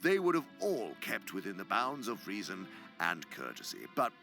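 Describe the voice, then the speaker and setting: Gravelly, theatrical demon mentor. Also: male voice, lowish voice, wildly swooping pitch, moderate pace, bright, forceful tone, faint music behind, exaggerated voice. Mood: animated, mocking, then smug lecturing. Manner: pompous, dramatic, savoring words, dropping into whispers. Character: gloating, sly senior male demon mentor. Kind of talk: theatrical radio drama monologue.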